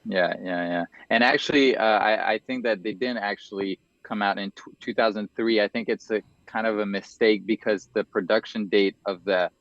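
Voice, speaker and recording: Quick, hesitant young man, lo-fi sound. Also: lowish voice, fairly even intonation, no echo. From United States, raised in France. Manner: quick, hesitant, many fillers and restarts. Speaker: podcast guest. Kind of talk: casual interview chat.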